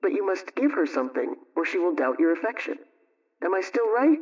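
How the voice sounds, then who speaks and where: A woman playing a brisk, motherly homemaking adviser, telling a scripted radio story in an emphatic, instructive way. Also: fairly low pitch, sing-song, a little mellow, recorded from a distance, muffled recording. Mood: emphatic, coaxing.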